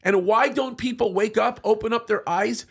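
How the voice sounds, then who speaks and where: A man holds forth in a studio talk show with heated conviction. His voice sits high and carries a gravelly fry. He stumbles over restarts and doubled words as he presses his point. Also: moderate pace. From United States, of Egyptian parents. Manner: emphatic, with many restarts and repeated words.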